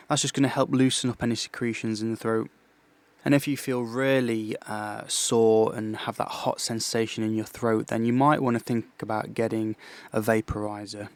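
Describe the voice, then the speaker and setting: A man working as a vocal coach, calmly explaining vocal health in a podcast lesson, measured and explanatory, restarting his phrases often. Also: on the low side.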